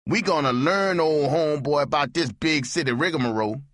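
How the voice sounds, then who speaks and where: Narrator voices a scheming male Southern barfly, high and slow. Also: fairly bright tone, no room sound, clean studio sound, lightly acted. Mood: sly. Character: scheming male barfly after free drinks.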